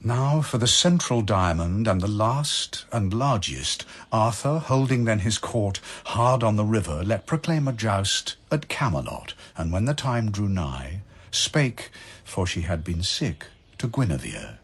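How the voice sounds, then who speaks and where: A man narrating dramatic verse as a solemn, measured storyteller. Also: on the low side, sing-song, slightly rough.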